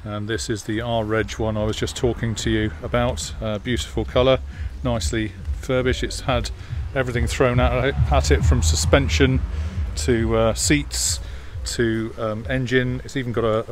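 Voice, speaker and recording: A man giving a relaxed, hesitant walk-around commentary in an outdoor car park, filmed on a phone. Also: mid-range voice. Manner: relaxed, hesitant with many fillers. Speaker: classic car vlogger. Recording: outdoor phone video with clear background noise. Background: jet washer and outdoor noise.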